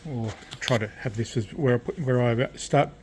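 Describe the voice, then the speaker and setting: A man's voice: mid-range voice, normal speed, a little mellow, slight background noise. A man at his workbench, casually talking through a radio repair, thinking aloud with fillers and restarts. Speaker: radio restorer. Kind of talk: casual repair vlog narration. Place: home workshop at a workbench. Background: rain storm outside.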